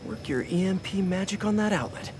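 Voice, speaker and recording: A man's voice: sits high, lively intonation, a little fast, a loud crowd. A young man playing an earnest, wisecracking superhero in a video game, talking in a conversational, musing way. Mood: focused, quippy. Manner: quippy, musing aloud to himself.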